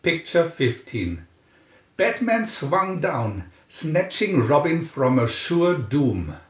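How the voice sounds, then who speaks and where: Animated, slow-paced male storybook narrator. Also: a little high. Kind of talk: dramatic scripted storybook reading. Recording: dull lo-fi, roomy echo.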